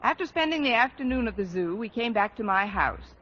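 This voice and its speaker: Bright, sardonic schoolteacher, old radio. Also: female voice, fairly high pitch, lively intonation, brisk. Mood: breezy, wry. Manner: dry, wry, theatrical delivery. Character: sardonic, wry female high school English teacher. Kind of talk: 1940s radio sitcom acting. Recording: muffled, noisy old radio recording.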